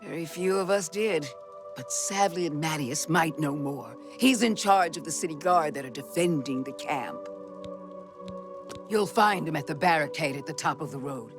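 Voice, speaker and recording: A man's voice: fairly high pitch, expressive, normal speed, music in the background, lightly acted. An actor playing an anxious male refugee in a fantasy video game, earnest and plain-spoken. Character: anxious male townsman refugee giving directions.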